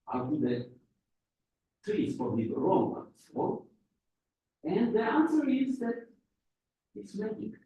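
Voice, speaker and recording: Guy giving a physics lecture, kinda high mellow voice, stops and restarts a lot, far off in a big echoey room. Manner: halting, restarts phrases. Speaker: guest lecturer.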